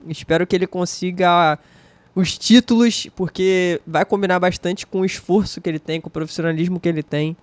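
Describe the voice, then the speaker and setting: A man's voice: high-pitched, fairly even intonation, brisk, no room sound. Chatty Brazilian man hosting a fan channel. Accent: Brazilian Portuguese. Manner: chatty, slangy, enthusiastic praise. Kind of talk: casual reaction commentary.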